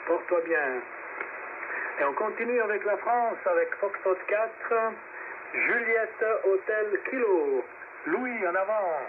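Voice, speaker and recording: High-voiced man on crackly narrowband radio. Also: animated, slow. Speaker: radio net host. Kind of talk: casual radio net relay. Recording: noisy narrowband shortwave radio link.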